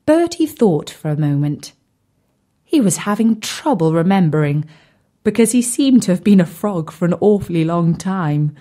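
A woman's voice: lowish voice, big pitch swings, moderate pace, mellow. A woman narrating a scripted children's story expressively, addressing young listeners directly.

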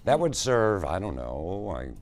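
A man's voice: low voice, sing-song, unhurried. A middle-aged man, a news anchor, joking playfully with a chef on a studio kitchen set. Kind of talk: casual studio banter.

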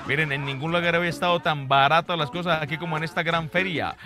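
A man's voice: fairly high pitch, fairly even intonation, fast talker, lightly acted. A Colombian man playing a chatty, eager fair hawker in a comic radio sketch, with repetitive sales patter. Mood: playful. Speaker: comedian on a radio morning show. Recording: music behind the voice.

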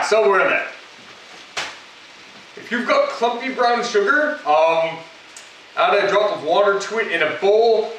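A man's voice: high-pitched, bright, forceful tone, a little hiss or hum. A man hosting a casual home cooking demonstration, talking the viewer through each step in a chatty way with joking asides. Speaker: cooking show host. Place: home kitchen, indoors. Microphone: camera mic from across the room. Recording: echoey kitchen, mic far off.

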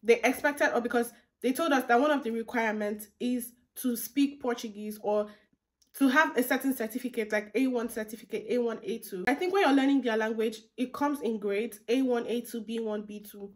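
A young woman chats to her viewers from a curtained room, her voice sitting a little high. She is friendly and informal, circling back over the same points and calling everyone "guys". She speaks to a camera set a short distance away. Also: a little fast. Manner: chatty, repeats phrases, talks to viewers as "guys". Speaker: vlogger. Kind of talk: casual advice vlog to camera. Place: indoor room with curtains behind.

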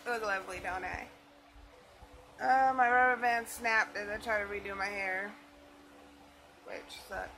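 A woman's voice: mid-range voice, steady tone, a little slow, long pauses, bright, forceful tone. A young woman in her twenties, vlogging casually, talking straight to the camera in a chatty, rambling way. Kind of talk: casual family vlog. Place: home bedroom and parked car at night. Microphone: phone camera at arm's length. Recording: noisy phone recording.

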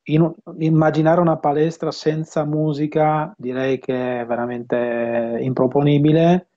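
A man in his fifties speaks in a relaxed, mellow voice as an interview guest. He explains things conversationally, stopping to find his words. The sound is dull and lo-fi, captured through an earphone headset on a video call. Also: a little high, a little slow. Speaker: audio system installer and dealer being interviewed. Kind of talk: casual interview talk. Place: home room with bookshelves, indoors.

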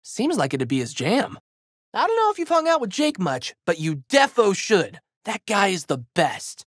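A man's voice: high-pitched, huge pitch swings, normal speed, a bit bright, no room sound, clean studio sound, exaggerated voice. A man voicing a plucky, goofy teenage boy hero with slangy, exuberant delivery for a video game. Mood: upbeat, chatty. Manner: exuberant, slangy surfer-dude boyishness. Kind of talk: video game character voice lines.

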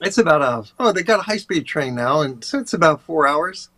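An older man, a tour guide, chatting over a video call in a relaxed, rambling way with many fillers. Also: fairly high pitch, a little fast. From USA. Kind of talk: casual interview talk. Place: home office, indoors.

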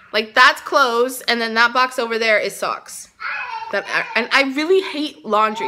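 Chatty bright-voiced mom vlogger. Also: female voice, fairly high pitch. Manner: chatty, rambling, many "um"s and "like"s. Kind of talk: casual vlog chat to camera. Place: tiled living room at home, indoors. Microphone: phone selfie camera at arm's length.

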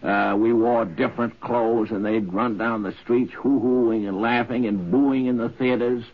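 A man's voice: medium pitch, fairly even intonation, unhurried. A man being interviewed, telling an anecdote from memory. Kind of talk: spoken anecdote. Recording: dull 1950s radio recording.

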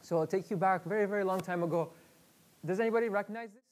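A man's voice: high-pitched, quick pace, a little mellow. A man in his thirties from Iraq giving a live workshop talk in a lecture room, chatty and loose, repeating words and using many fillers. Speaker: guest speaker. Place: lecture room with projector screen.

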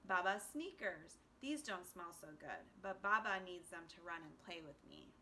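A woman's voice: on the low side, lively intonation, moderate pace, pushed and bright, a little hiss or hum. A woman voicing an eager, inquisitive little boy in a children's storybook. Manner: inquisitive, earnest.

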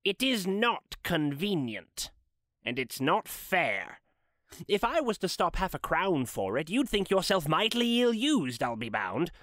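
A man's voice: sits high, big pitch swings, measured pace, a bit bright, no echo, clean studio sound, pitched above the performer's own voice, full character voice. A man voicing a cold, grudging old miser in a dramatic storybook reading, curt and scornful. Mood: grudging, grumbling.

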